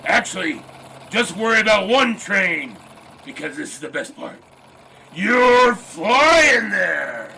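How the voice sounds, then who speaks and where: Blustery middle-aged newspaper boss, radio drama. Sharp bright tone, swooping delivery, breezy enthusiasm, slight background noise. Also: male voice, big pitch swings, takes it slowly, vocal fry, heavily acted. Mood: cheerfully bossy. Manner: booming, enthusiastic, careless with details. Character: blustery, excitable male newspaper boss, cheerfully scatterbrained. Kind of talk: period radio drama acting.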